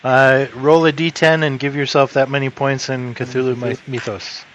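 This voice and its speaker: A man narrating as game master at a tabletop game, with wry, amused descriptions of the scene. His voice sits at an ordinary middle pitch. A faint hum of ambience runs behind him. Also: flat delivery, normal speed, highs cut off.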